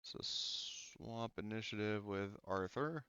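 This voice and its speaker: A man running a tabletop game as game master, relaxed and hesitant, with many fillers. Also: lowish voice, slow, clean tone, dry recording, dull audio.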